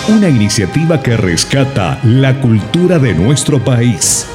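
Slow, dramatic male radio announcer. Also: mid-range voice. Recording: clear music bed.

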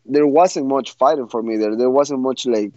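Young man, blunt storyteller who keeps restarting; dry, dark sound. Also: medium pitch, normal speed, no echo, muffled recording. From Ecuador. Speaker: guest fighter. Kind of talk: interview answers.

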